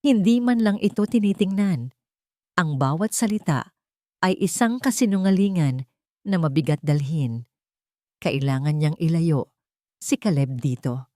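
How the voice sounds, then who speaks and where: Low-pitched woman narrating a romance story. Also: animated, a little slow, pauses often, warm tone, no echo, clean studio sound.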